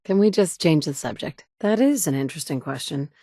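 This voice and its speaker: Wry game heroine, mom hunting for her son, lowish voice, dead dry studio sound. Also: animated, brisk, warm tone, no echo. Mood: determined, wry. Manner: dry wit, blunt and curt when annoyed. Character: determined mother searching for her son, wry. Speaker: player character. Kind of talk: game dialogue lines. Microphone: close studio mic.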